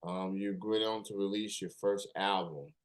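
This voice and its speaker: An upbeat man hosts a casual interview, his voice sitting a little low. He asks his questions loosely, with plenty of ums and restarts. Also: steady tone, normal speed. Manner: upbeat, filler-heavy questions. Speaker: podcast host.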